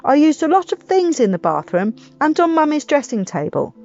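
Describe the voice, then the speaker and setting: A woman's voice: sits high, very animated, moderate pace, a little mellow, pitched above the performer's own voice. A woman voicing a polite, worried little boy in a children's audiobook, questioning and protesting. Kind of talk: audiobook character voice for children's story. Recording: muffled audio with music behind.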